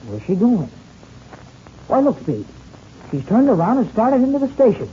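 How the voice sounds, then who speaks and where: A man's voice: sits high, huge pitch swings, moderate pace. An actor playing an eager, observant, friendly teenage boy pilot in an old-time radio adventure serial. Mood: puzzled. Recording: muffled, hissy old radio recording.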